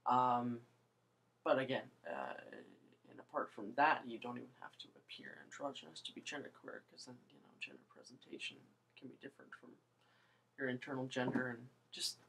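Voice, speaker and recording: A young woman with a low voice, rambling hesitantly through a casual video monologue with many fillers and restarts. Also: fairly quick, creaky, fairly bright tone, husky, low-quality recording. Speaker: vlogger.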